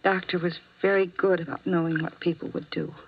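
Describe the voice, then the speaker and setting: An American actress playing a lovelorn, resigned young nurse in a 1950s radio drama, speaking in a hushed, halting, wistful way. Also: female voice, lowish voice, level tone, slight background noise, close to an everyday voice. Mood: wistful, confiding. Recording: muffled vintage 1950s radio recording.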